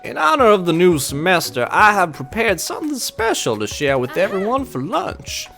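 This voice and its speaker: Man acting folksy gentleman student, earnest, courteous, raised pitch, visual novel character, music behind. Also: wildly swooping pitch, moderate pace, creaky, exaggerated voice. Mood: proudly announcing.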